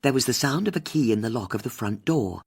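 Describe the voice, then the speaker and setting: A woman's voice: extremely low pitch, lively intonation, quick pace, darkish tone, breathy edge. A woman reading a mystery audiobook as scripted narration.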